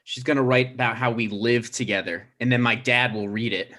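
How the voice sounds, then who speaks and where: A man's voice: mid-range voice, fairly even intonation, brisk, pushed and bright, lightly acted. Man playing hesitant, anxious mayoral candidate. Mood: anxious, sheepish. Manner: mild, hesitant. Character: hesitant, anxious thirty-five-year-old man running for mayor. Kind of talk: comedy script table read.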